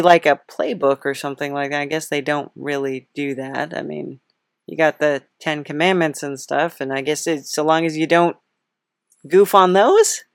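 Female podcast host, lowish pitch, dry sound, fumbling and bemused through an improvised comedy interview. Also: dry recording. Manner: hesitant, halting, bemused, chuckling delivery.

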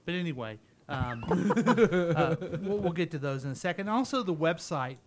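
A man with a somewhat high voice hosts a small radio talk show, rambling through station business between jokes. He laughs often and keeps the banter going with his co-hosts from a studio microphone. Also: very animated, normal speed, a crowd in the background.

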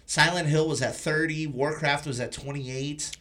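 A man's voice: fairly high pitch, steady tone, normal speed, bright, forceful tone. A man hosting a podcast, chatting casually and informally about films. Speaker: podcast host. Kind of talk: casual film discussion.

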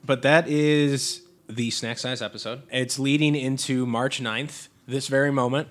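Man, podcast co-host, sniffly, excitable, casual chat, frequent swearing. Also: mid-range voice, unhurried. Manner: excitable, swears freely, lots of fillers. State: sniffly from allergies. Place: Chicago area.

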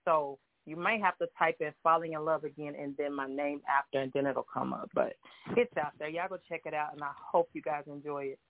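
Soft halting woman from oklahoma, guest singer calling in, tinny phone sound. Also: low voice, fairly even intonation, no echo. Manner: soft-spoken, halting, many fillers. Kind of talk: phone-in interview. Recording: tinny phone line, dry.

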